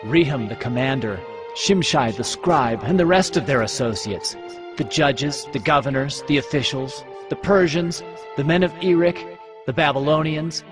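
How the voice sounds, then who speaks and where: A man's voice: mid-range voice, normal speed, music in the background, lightly acted. A man acting a formal Persian official who proclaims a letter in a dramatized audio Bible. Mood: official, proclaiming. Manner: proclaiming, listing titles formally.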